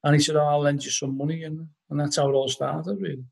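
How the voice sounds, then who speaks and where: Middle-aged scouse guy on a video call from his living room, husky voice, a bit higher than most men, rambles through stories w lots of "you know". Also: little pitch movement, quick pace. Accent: Liverpool. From Liverpool, England. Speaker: podcast guest, band vocalist. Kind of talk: casual storytelling interview. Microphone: laptop webcam on a video call.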